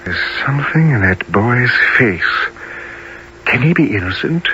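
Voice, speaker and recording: Troubled old gentleman, hesitant and well-spoken, expressive pitch, unhurried, for radio play. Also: muffled recording, a little hiss or hum. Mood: troubled, wondering.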